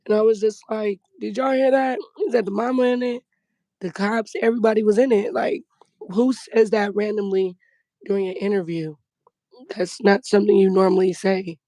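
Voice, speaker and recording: A woman guest on a livestream, her voice going hoarse, explaining her point earnestly with many fillers. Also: mid-range voice, fairly even intonation, moderate pace, warm tone, no echo, poor audio. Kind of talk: casual livestream chat.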